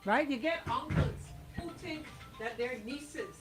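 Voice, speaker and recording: A high-pitched woman with a Caribbean accent talks as a radio host. She is blunt and indignant, pressing her points with rhetorical questions in loose, conversational speech. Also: lively intonation, a little slow, over music. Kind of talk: casual talk radio discussion.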